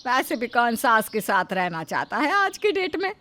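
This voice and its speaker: A woman voicing a greedy, sharp-tongued middle-aged mother-in-law in a story, loud and dismissive. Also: on the high side, big pitch swings, normal speed. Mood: scheming.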